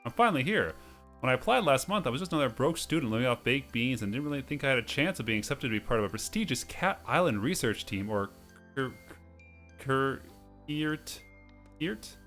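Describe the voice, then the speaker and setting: Male let's play host, middle pitch, reads game text aloud with the odd stumble, music behind. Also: expressive, fairly quick, a bit bright. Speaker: host of a video game playthrough series.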